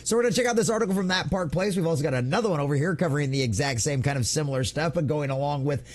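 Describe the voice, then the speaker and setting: A man's voice: fairly high pitch, very fast. A man hosting an online gaming news show, giving opinionated commentary in an emphatic, theatrical, mocking way.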